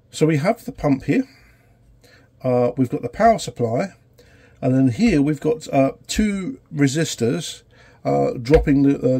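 A man's voice: medium pitch, warm tone. Man with a cold talking at his workbench. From United Kingdom. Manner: halting, filler-heavy explaining. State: has a cold and sore throat. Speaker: electronics repair channel host. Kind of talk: casual workshop tutorial. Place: small indoor electronics workshop.

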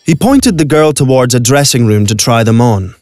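A man's voice: mid-range voice, animated, moderate pace, darkish tone, no room sound. A man narrating a scripted audio drama as a storyteller. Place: recording studio. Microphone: close studio microphone.

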